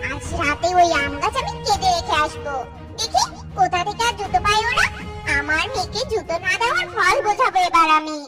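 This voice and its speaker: A woman's voice: very high-pitched, big pitch swings, a little fast, recorded from a distance, loud music behind the voice. A woman voicing a spiteful villainous mother bird in a children's cartoon, indignant and dramatic. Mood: vengeful. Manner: indignant, scheming.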